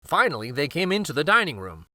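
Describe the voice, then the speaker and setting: A man narrating a children's storybook aloud, expressive and careful, with dramatic pauses. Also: fairly high pitch, dry recording, clean studio sound.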